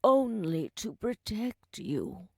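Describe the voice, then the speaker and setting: An actress playing a frail, dying old grandmother in a video game, solemn and pleading, with a breathy, husky voice. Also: medium pitch, wildly swooping pitch, no echo, studio quality, exaggerated voice. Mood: grave, weak. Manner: slow, solemn, pleading storyteller delivery. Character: frail old dying grandmother, urgent, remorseful, mystical mentor. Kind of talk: dramatic video game voice acting.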